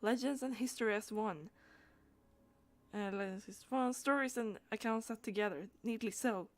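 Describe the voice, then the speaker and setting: Bookish game scholar read by a woman, fairly high voice, proud and wordy, declaims old lore with small stumbles. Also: normal speed, no echo, natural voice. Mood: delighted, proud. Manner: declaiming, reading out dialogue with stumbles.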